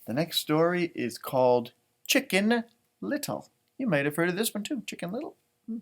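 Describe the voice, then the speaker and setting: A man hosting a children's storybook read-aloud, expressive and playful, adding chatty asides and wondering aloud. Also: sits high, moderate pace. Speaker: storytime read-aloud host, music teacher.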